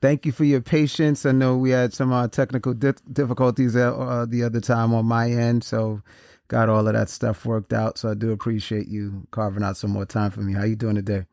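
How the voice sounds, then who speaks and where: A man hosting a podcast interview in a casual, halting way, often restarting and repeating words. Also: medium pitch, level tone, a little mellow, dry recording, studio quality. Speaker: podcast host.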